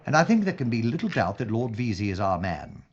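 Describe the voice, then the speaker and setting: Man acting an animated, formal police inspector. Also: mid-range voice, lightly acted. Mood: grave, deducing. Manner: formal, deliberate, deductive. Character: earnest, formal British police inspector in wartime London. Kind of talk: audio drama acting. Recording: dull lo-fi sound, faint noise.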